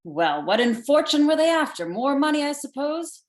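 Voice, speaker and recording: Radio drama sergeant: actress as flustered officer, swooping highish voice, roomy echo. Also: female voice, very animated. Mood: officious, flustered. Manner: halting, repetitive, officious. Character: fussy, flustered male police sergeant. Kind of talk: radio play reading. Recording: echoey room on video call.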